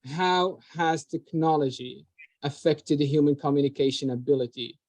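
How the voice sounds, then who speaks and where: A man conducts an interview over a video call, reading numbered questions aloud and filling his pauses with hesitant ums. His voice sits slightly high and sounds dry and close. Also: steady tone, a little mellow, no room sound.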